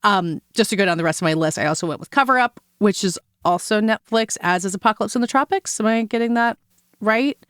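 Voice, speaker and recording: A woman's voice: medium pitch, quick pace, smooth, dry recording, clean studio sound. A woman co-hosting a casual film awards discussion, talking in a chatty, analytical, conversational way. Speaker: podcast co-host.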